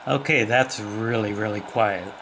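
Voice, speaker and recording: Male hobbyist demonstrating gear casually, slow and plain-spoken, heavy shortwave hiss throughout. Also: on the low side. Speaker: shortwave radio hobbyist presenter. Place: indoors at a wooden table. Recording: loud radio static and hiss. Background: shortwave radio static, tones and ticking.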